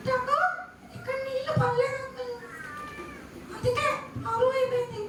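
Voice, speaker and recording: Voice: very high-pitched, flat delivery, normal speed. A puppeteer voicing a chatty, cheeky child puppet character in a live puppet show, chattering and teasing in a childlike way. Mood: childlike pleading. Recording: echoey hall from far off, noisy crowd.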